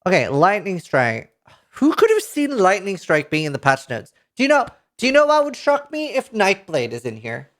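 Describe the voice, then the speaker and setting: A man in his thirties with a Norwegian accent reads patch notes aloud to a live chat, reacting with excited outbursts and casual swearing, into a headset mic. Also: sits high, moderate pace. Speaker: streamer. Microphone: headset mic at a desk.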